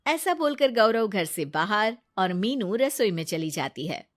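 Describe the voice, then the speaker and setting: A woman's voice: medium pitch, very animated, measured pace, no echo, studio quality. A woman narrating a family story in a scripted storybook style.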